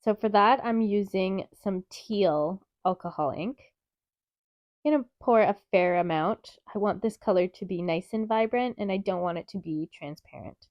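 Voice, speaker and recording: A woman with a stuffy, nasal voice from a cold, calmly explaining steps in a craft tutorial. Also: mid-range voice, moderate pace, dry recording, muffled recording. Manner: calm, methodical, chatty explaining. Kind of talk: step-by-step craft tutorial narration.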